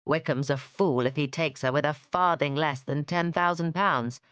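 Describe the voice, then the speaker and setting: A woman's voice: deep voice, normal speed, clean tone, no echo, highs cut off, lightly acted. A woman voicing a weary older father with a dry, sardonic way of speaking.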